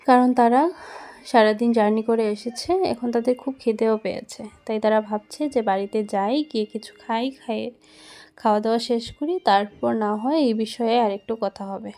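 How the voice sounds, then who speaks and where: A woman with a soft-edged, mellow tone and a slightly high pitch. She narrates a children's cartoon tale in a storytelling style. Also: moderate pace.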